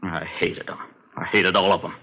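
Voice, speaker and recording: An American actor playing a cornered, bitter murder suspect in a vintage radio drama, rough-voiced, defensive and agitated, repeating himself. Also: male voice, low voice, level tone, normal speed, slight background noise. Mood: hysterical, bitter. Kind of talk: 1950s radio crime drama acting. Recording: dull vintage radio recording.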